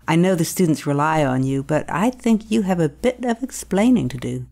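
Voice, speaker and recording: A woman acting as a concerned, probing school staff member in an audio drama, with a measured, coaxing manner. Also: deep voice, animated, vocal fry, mellow, soft background music, exaggerated voice.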